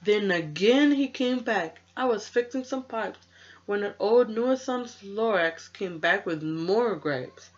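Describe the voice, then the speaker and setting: A storybook reader narrating for children in an expressive, rhythmic, dramatic way, lingering on key words. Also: medium pitch, slow, lo-fi sound.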